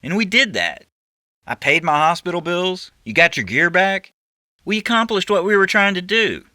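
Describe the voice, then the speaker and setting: A man's voice: sits high, big pitch swings, moderate pace, bright, forceful tone, no echo, studio quality, pitched above the performer's own voice, heavily acted. A man voicing an anxious, cautious sidekick to a con, protesting and reasoning in an audiobook story. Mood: pleading.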